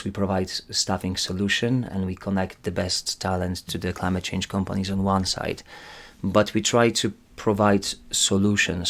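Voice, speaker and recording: A man from Poland with a Polish accent, answering interview questions in a measured, earnest and slightly formal way. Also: deep voice. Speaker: guest, climate recruitment director.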